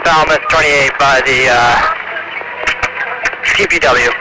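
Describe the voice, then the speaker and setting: A man's voice: medium pitch, slow pace. Man on a very noisy police radio. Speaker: police patrol officer on radio. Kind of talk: terse police radio traffic.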